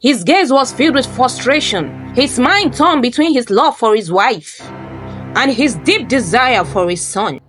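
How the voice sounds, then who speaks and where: A woman narrates a folktale as a scripted dramatic story. Her voice sits fairly high and moves with very lively intonation, with faint music underneath. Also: measured pace.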